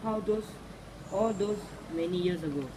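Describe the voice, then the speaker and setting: A woman's voice: mid-range voice, normal speed. A woman reading a folk tale aloud. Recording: clearly noisy recording with ambient sound.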